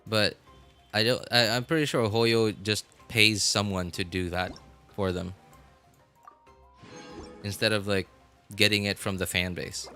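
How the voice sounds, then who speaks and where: A man's voice: fairly low pitch, steady tone, unhurried, pauses often, pushed and bright, faint music behind. A man chatting casually and at length as he livestreams a game. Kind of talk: casual rambling stream chat.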